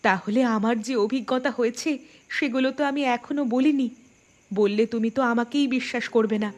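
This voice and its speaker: A woman playing an anxious, frightened young woman in an animated horror story, voice high and alarmed. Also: moderate pace, lightly acted.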